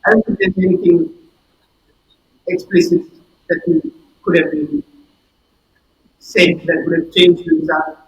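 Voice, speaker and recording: A male student debater from Sri Lanka giving measured, analytical answers in an interview. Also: sits high, lively intonation, rough recording.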